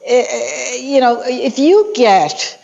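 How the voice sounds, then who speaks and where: A woman's voice: high voice, slow pace, creaky. A talkative woman on a conference panel, trading walking-tour advice and sprinkling "you know" everywhere. Her voice has a slightly dull, muffled sound to it. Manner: animated, chatty, many "you know" fillers. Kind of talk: panel discussion.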